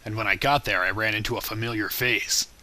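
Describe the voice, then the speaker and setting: A man voicing a blunt, sarcastic mercenary swordsman with a deadpan manner in a comedic cartoon parody dub. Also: fairly low pitch, a little fast. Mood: deadpan recounting.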